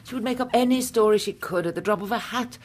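An actress playing a proud, composed middle-aged widow in a radio drama, husky and measured. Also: female voice, fairly high pitch. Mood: disdainful. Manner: measured, haughty. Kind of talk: British radio drama acting.

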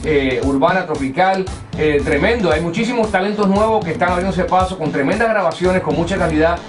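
A man's voice: high voice, brisk. A man hosting a radio interview on a tropical music station, fluent and upbeat. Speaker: radio host.

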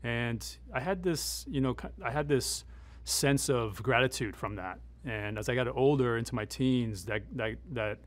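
A middle-aged man speaking as a military advisor in an interview, explaining things in a conversational, measured, matter-of-fact way. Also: medium pitch. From United States, born in Afghanistan. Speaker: military advisor and former Green Beret.

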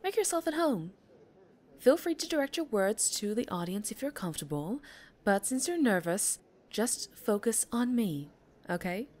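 A woman's voice: a little high, very animated, moderate pace, darkish tone. A woman playing a polished, encouraging female talk show host in a scripted ASMR roleplay, articulate and reassuring with a showy presenter's delivery. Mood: reassuring, upbeat.

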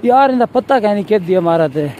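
A man's voice: sits high, animated, fast talker, mellow. A man with a Pakistani Punjabi accent giving casual, exclaiming commentary as he films a walking tour, with repeated catchphrases. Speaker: vlogger. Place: outdoors on a city roadside while walking. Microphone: handheld phone camera. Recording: very noisy outdoor roadside. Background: traffic and street noise.

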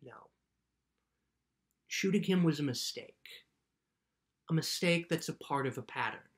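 Man reading as a patient, gentle parent. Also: on the high side, a little slow, close to an everyday voice. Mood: patient, earnest. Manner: plain, explanatory. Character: patient, gentle parent explaining things to a child. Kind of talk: children's book reading. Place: living room indoors.

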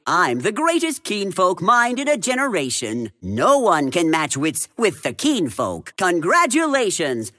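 A man's voice: sits high, bright, forceful tone, no echo, clean studio sound, full character voice. A male actor voicing a cocky little keenfolk tinker, a gleeful mad inventor for a video game, with a smug, theatrical, scheming manner. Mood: indignant, boastful. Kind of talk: video game character voice lines.

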